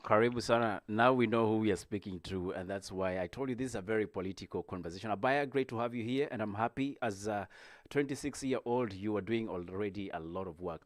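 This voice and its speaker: A man hosting a political discussion, speaking in a measured way with frequent fillers. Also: fairly low pitch, no echo, clean studio sound. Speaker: host.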